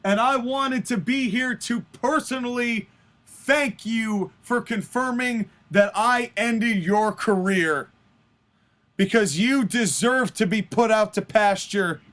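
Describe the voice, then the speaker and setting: A man's voice: high voice, fairly bright tone. A man playing a gloating, contemptuous wrestling villain in a told story, with a taunting delivery.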